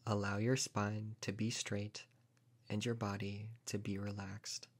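A man guiding a meditation in a calm, unhurried, gently instructing manner. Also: fairly low pitch. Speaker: meditation teacher. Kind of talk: guided meditation narration.

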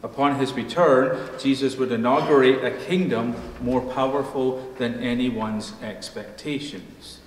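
A middle-aged man, a pastor, preaching a measured sermon from a pulpit in a church sanctuary, slowing down at the ends of his phrases. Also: mid-range voice. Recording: echoey hall, faint room noise.

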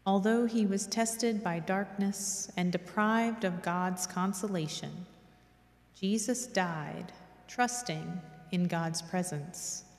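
Woman reading prayers slowly and solemnly, lowish voice, big church reverb. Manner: measured, reverent. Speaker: reader at a church devotional service. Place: large church, indoors. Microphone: gooseneck lectern microphone. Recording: echoey church hall with long reverb.

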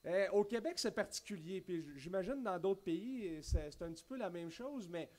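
A man in his thirties with a Québécois accent, preaching a sermon from a church pulpit with animated gestures and frequent restarts. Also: high voice, normal speed. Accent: Québécois French. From Quebec. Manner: animated, gesturing widely, many restarts and spoken asides. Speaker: pastor. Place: church stage at a wooden pulpit, indoors.

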